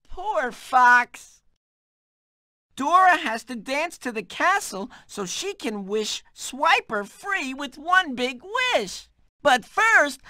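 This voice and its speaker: A man's voice: extremely high pitch, bright, forceful tone, no room sound, clean studio sound, heavily acted. A man voicing an eager, helpful talking map in a children's cartoon game, explaining emphatically to young children.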